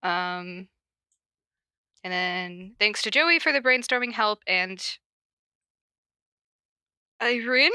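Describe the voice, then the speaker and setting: A woman with a bright, fairly high voice hosts a casual reading podcast. She takes her time, sounding informal, giggly and self-mocking, in a dry, close recording. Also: takes it slowly, long pauses, smooth, dry recording. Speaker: podcast host introducing fan fiction readings. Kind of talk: casual chat with read-out summaries.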